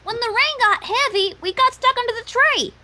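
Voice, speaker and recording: A woman's voice: very high-pitched, normal speed, pushed and bright, full character voice. A woman voicing a sheepish, innocent little pony girl.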